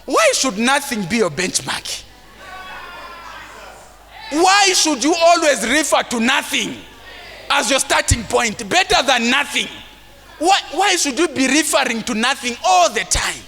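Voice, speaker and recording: A man gives a motivational talk at a conference, preachy and sometimes shouting his points. His voice sits very high and sounds bright and forceful, with a mild stammer he works around. It rings out with the long reverb of a big hall. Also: sing-song, measured pace, vocal fry. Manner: preachy, rising to shouts for emphasis; acts out timid voices of self-doubting people. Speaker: motivational speaker. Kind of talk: motivational talk to a live audience.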